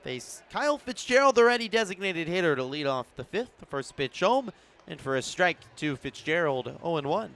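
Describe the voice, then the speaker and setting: A man's voice: a little high, sing-song, moderate pace, a bit bright. A man doing live radio play-by-play commentary of a baseball game as the announcer. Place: broadcast position at an outdoor ballpark in the evening.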